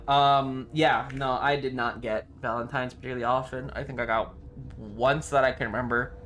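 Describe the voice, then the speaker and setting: A man's voice: medium pitch, normal speed, fairly bright tone, faint music behind. A man chatting casually on a game livestream, with slangy, sweary banter. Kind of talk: casual game stream chat.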